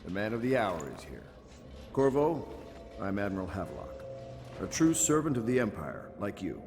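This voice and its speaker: An actor playing a blunt, commanding admiral who leads rebel loyalists in a video game. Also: male voice, on the low side, big pitch swings, normal speed. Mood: solemn, resolute. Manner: blunt, authoritative. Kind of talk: scripted video game dialogue. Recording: long hall reverb under music.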